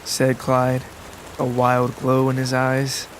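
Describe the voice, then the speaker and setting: Even-toned male story narrator. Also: mid-range voice, slight background noise. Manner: measured, deliberate. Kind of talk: scripted literary narration. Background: music and rain.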